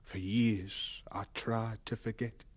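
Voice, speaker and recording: An actor playing a humble, earnest man in an audio drama, gentle and deferential in manner. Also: lowish voice, little pitch movement, slow. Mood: wistful. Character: humble, earnest scientist recalling his hopeful, polite younger self.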